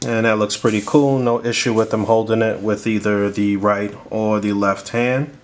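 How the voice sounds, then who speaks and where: A man's voice: lowish voice, fairly even intonation, moderate pace. A man giving a casual, informal product review with slangy, conversational delivery. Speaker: toy reviewer, video host. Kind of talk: casual action figure review narration.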